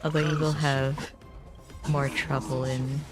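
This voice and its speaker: Slow-paced game streamer musing aloud. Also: medium pitch, little pitch movement. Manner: musing to self in short bursts. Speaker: livestreamer. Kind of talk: casual gameplay commentary. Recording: music behind the voice. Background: game music.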